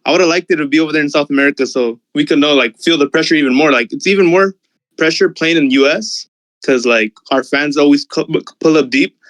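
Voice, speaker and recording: A man's voice: on the high side, fast talker, fairly bright tone. A man giving opinions as a fan in a casual, slangy chat, often saying like and you know. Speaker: discussion panelist, football fan.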